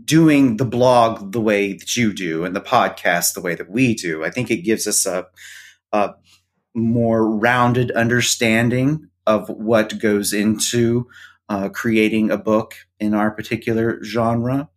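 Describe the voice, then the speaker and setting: Slow, hesitant man with a low voice. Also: fairly even intonation. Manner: hesitant, thoughtful, many "um" pauses. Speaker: podcast guest. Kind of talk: casual interview chat.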